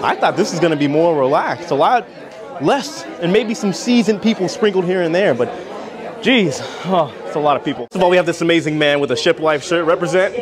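A man's voice: on the high side, animated, brisk, crowd noise. A man in his thirties casually narrating a travel vlog to viewers, recorded at arm's length while walking around. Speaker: travel vlogger. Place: cruise ship interiors and open decks. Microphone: handheld phone or camera at arm's length.

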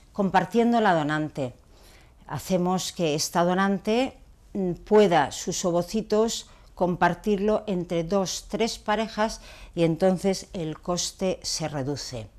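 Woman in her fifties, low-pitched, didactic, emphatic, doctor talking to camera in a small consulting room. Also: a little mellow. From Barcelona, Spain. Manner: didactic, emphatic, rhetorical questions. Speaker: gynecologist, video blogger. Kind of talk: explanatory talk to camera.

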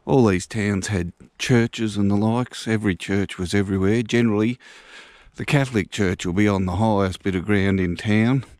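A man giving a relaxed, casual walking tour outdoors, thinking aloud as he goes. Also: on the low side, normal speed, no echo. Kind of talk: casual walk-and-talk narration. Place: outdoors walking through a small country town.

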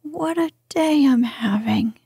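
A woman voicing a shy, wide-eyed young male mole, speaking in a soft whisper. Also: high-pitched, slow pace, vocal fry, dry recording, clean studio sound, voice pushed up, full character voice. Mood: shy wonder. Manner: whispered, timid.

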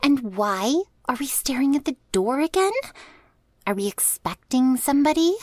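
Audio roleplay: actress as anxious female vampire's servant, highish lively voice, hesitant modern slangy delivery. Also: moderate pace, no echo. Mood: worried. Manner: hesitant, colloquial, questioning.

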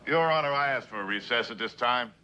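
An actor playing a shrewd, skeptical middle-aged district attorney in a 1960s TV drama, speaking formally and pointedly. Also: male voice, medium pitch, fast talker, sharp tone, highs cut off, lightly acted. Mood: objecting. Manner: formal, probing, pointed questioning. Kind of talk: 1960s television courtroom drama acting.

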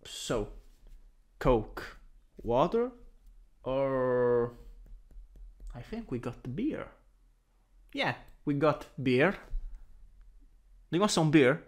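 A man's voice: medium pitch, sing-song, extremely slow, pauses often. A man with an Italian accent performing an improvised boyfriend roleplay, teasing in an affectionate, playful way. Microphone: USB microphone.

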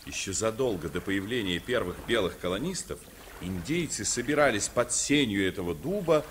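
An animated, knowing adventurer captain. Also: male voice, fairly low pitch, normal speed. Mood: solemn, informative. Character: knowledgeable adventurer captain guiding a voyage. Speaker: actor in a children's radio play. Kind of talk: mid-century Soviet radio drama acting. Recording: old radio recording, faint water noise.

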